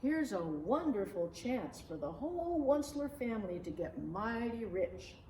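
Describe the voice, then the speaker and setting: A woman's voice: fairly high pitch, big pitch swings, unhurried, mellow. A woman voicing a brash, greedy storybook businessman, smug, boastful and persuasive. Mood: eager, bossy. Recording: roomy, distant mic, faint noise.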